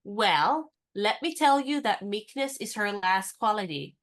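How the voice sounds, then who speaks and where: A spirited young woman, played by an actress, who poses as a lady's maid and teases her companion in a brisk, knowing way. Her voice sits in a middle pitch. She sounds like a character in a radio play. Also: expressive, fairly bright tone. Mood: teasing.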